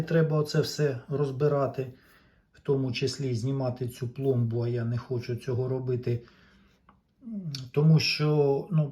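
A man giving an informal, unscripted repair walkthrough as a hobbyist, explaining haltingly and pausing mid-sentence. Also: medium pitch, warm tone. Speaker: repair hobbyist presenting a demonstration. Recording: dull lo-fi sound.

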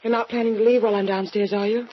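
A woman's voice: mid-range voice, steady tone, very fast, slightly rough, natural voice. An actress playing a nervous young woman who pleads urgently, in 1940s radio drama style, on a dull old recording. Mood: pleading.

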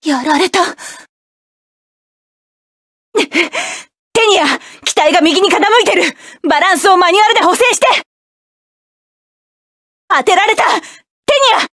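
A proud hot-tempered mecha pilot. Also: female voice, very high voice, normal speed, long pauses, no room sound, studio quality. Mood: commanding, tense. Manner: sharp, commanding, clipped battle barks. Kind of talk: video game battle voice lines.

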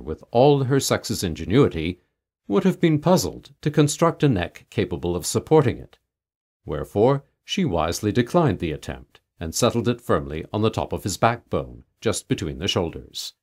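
A man reading a humorous old literary tale aloud as an audiobook narrator, in measured, mock-solemn storytelling. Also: on the low side. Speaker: audiobook reader.

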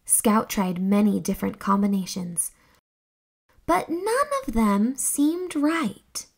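A young woman reading a children's story aloud in a theatrical, expressive storytelling manner. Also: mid-range voice, takes it slowly, gravelly. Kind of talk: expressive scripted read-aloud narration for children.

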